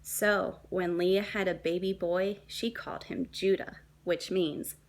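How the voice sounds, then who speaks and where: A woman, a young adult, reading a children's story aloud in a dramatic, deliberate way with shifts between emphasis and hush. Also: on the low side, steady tone. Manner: dramatic, deliberate phrasing with hushed and emphatic moments.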